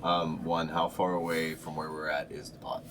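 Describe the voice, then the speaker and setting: A man's voice: extremely low pitch, level tone, moderate pace, fairly bright tone, a little hiss or hum. A man talking casually as a player in a tabletop game with a group.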